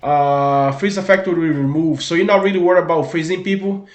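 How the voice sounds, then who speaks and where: A man from Brazil with a Brazilian Portuguese accent, giving a relaxed, filler-heavy voice-over about a video game. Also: on the high side, a little fast. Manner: relaxed, many fillers and restarts. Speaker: gaming YouTuber. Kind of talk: casual game guide voice-over. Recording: echoey room.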